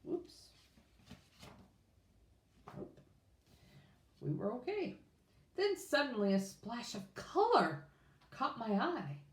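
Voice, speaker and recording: A woman reading a children's storybook aloud in an expressive, informal way. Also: a little high, slow pace, pauses often. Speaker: children's book reader. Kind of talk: read-aloud storybook narration. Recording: echoey room.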